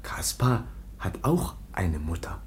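A man acting a timid, childlike foundling youth in a radio drama, speaking in halting broken phrases and naming himself in the third person. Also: deep voice, sing-song, whispered, full character voice. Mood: dreamy, wistful.

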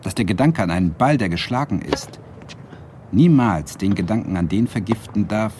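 A man's voice: fairly low pitch, animated, moderate pace, darkish tone, a little hiss or hum, lightly acted. An actor playing a reflective Swedish lecturer who narrates his own story in a radio drama. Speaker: narrator and lead character, a lecturer.

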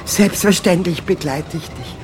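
An actress in a radio play playing a proud, sharp-tongued elderly emigrant mother who mutters haughty, scornful asides. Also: on the low side, measured pace, noisy background, natural voice. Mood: defiant, bitter.

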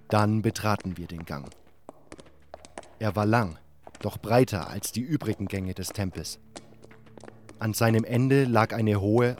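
A man's voice: on the low side, a little mellow, lightly acted. A man playing a serious hero who narrates his own fantasy adventure in an audio drama. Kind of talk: scripted narration. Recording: music bed behind.

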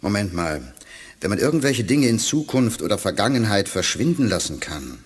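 An actor playing an eager, curious male astronaut sidekick who asks excited questions in a radio play. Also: fairly low pitch, normal speed, slightly rough. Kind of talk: 1980s radio play acting.

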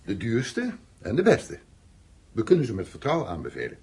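An actor playing a polite, businesslike shopkeeper serving customers in a radio drama. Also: male voice, fairly low pitch, wildly swooping pitch, a little mellow, breathy edge, exaggerated voice. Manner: polite, brisk service talk. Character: obliging, businesslike male art-supply shopkeeper.

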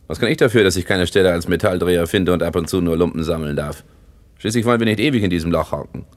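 An actor playing a blunt, bossy young rag collector who shares a room, speaking curtly and barking orders in a radio play. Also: male voice, low-pitched, fast, close to an everyday voice. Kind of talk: 1960s radio play acting.

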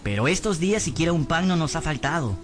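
A man's voice: a little high, big pitch swings, quick pace, over music. An actor in a radio drama playing a humble, hapless young servant, earnest and plaintive as he tells his misfortunes. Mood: earnest, questioning. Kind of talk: radio drama acting and scripted narration.

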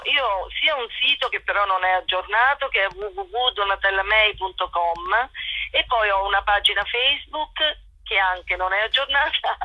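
Female interview guest, mid-range voice, halting conversational delivery, dull phone-line sound. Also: medium pitch, bright, forceful tone, no echo. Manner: halting, self-correcting. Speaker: actress and playwright, guest. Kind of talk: spontaneous radio interview about own show.

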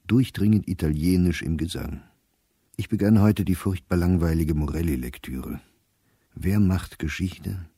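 A gloomy, weary middle-aged male diarist in a radio drama. The voice is very deep, dark and soft-edged, somewhat husky, with a sober, resigned, measured delivery. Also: dry recording, clean studio sound, heavily acted. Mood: dry, glum, then sober, brooding. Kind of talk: radio drama diary reading.

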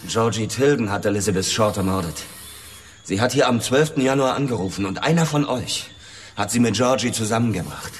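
An actor playing a hard, bitter police detective in a radio drama, questioning in a confrontational, demanding way. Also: male voice, on the low side, lively intonation, brisk, in a large hall, natural voice. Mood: accusing.

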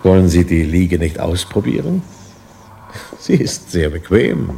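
An actor playing a smooth, insinuating research director in a German science fiction radio play, polite but probing. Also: male voice, sits low, sing-song, a little slow, warm tone, exaggerated voice. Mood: proud, inviting. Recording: faint background noise, early-1990s radio drama.

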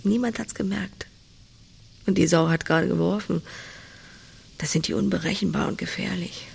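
An actress playing a blunt, tender pig-farming countrywoman in an audio drama, often speaking in a hushed, intimate half-whisper. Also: fairly low pitch, normal speed, gravelly, slight background noise. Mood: hushed, reflective. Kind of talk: naturalistic audio drama acting.

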